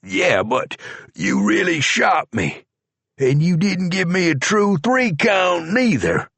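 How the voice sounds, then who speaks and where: A man voicing a superstitious, preachy horse thief locked in a cell, who speaks in a slow rural drawl. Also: sits high, very animated, gravelly, fairly bright tone, husky, no echo, voice pushed up, exaggerated voice. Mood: stunned.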